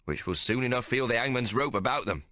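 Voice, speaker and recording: A man's voice: medium pitch, expressive, quick pace, pushed and bright, no room sound, studio quality, pitched above the performer's own voice. Menacing ship's officer, as a man puts him on. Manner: menacing, accusing. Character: menacing male officer Rawlings, cold accuser.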